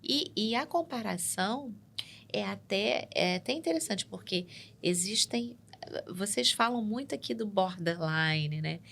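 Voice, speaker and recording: A woman psychologist, explaining ideas in a measured way on a studio podcast and often checking in with "né?". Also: medium pitch, lively intonation, creaky, fairly bright tone. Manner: measured and explanatory, tags points with "né?". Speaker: psychologist guest. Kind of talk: explanatory interview talk.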